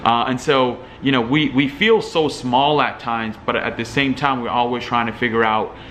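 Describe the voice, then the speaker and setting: A man's voice: medium pitch, steady tone, moderate pace, a bit bright, slight background noise. Young man rambling with fillers. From Algeria. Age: young adult. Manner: rambling, many fillers and restarts. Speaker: entrepreneur vlogger. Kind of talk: casual talking-head commentary.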